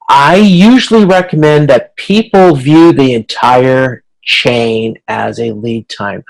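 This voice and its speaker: A man giving an informal lecture as an instructor on a video call, explaining slides in a halting way with repeated words and restarts. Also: fairly high pitch, poor audio. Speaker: college instructor.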